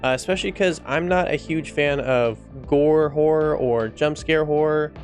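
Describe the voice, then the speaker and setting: A man's voice: a little high, normal speed, music in the background. A man giving casual opinion commentary as a video host, informal and profane, often repeating himself for emphasis.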